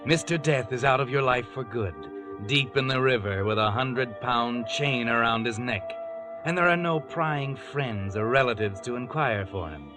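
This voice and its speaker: Loud dramatic music runs behind a sinister male narrator performed by an actor in an old radio mystery. He talks straight to his guilty subject in a medium-pitched, mocking voice. Every phrase is knowing and sardonic. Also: expressive, moderate pace, lightly acted. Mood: mock soothing. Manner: sardonic, addresses the character directly. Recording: 1940s radio broadcast, loud musical score.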